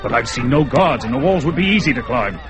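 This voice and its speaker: An actor playing a calm, bold young barbarian hero in a radio drama, speaking tersely and steadily. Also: male voice, medium pitch, quick pace, lightly acted.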